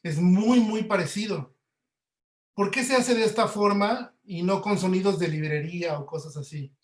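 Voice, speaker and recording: A man's voice: sits high, echoey room. A man giving an informal webinar lecture as an audio producer, explaining conversationally to students and checking in with tag questions. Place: indoor room, online webinar.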